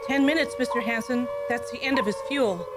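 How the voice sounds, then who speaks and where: Woman playing a composed, fairly high-voiced control secretary. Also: brisk, over loud music, close to an everyday voice. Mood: sober. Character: composed, efficient female control room secretary. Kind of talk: old-time radio drama acting.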